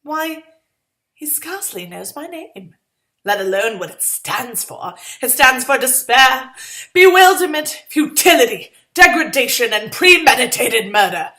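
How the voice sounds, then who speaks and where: Exasperated twenties-era young woman played by a female reader, high and bright, sarcastic, dramatic inner monologue. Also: animated, unhurried, full character voice. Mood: bitter, self-pitying. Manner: sarcastic, melodramatic. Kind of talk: dramatic first-person short story reading.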